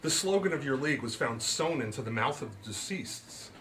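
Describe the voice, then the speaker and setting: A man's voice: medium pitch, lively intonation, fairly quick, noisy background, close to an everyday voice. A man voicing a composed young female detective in a comic mystery story, asking polite, probing, formal questions.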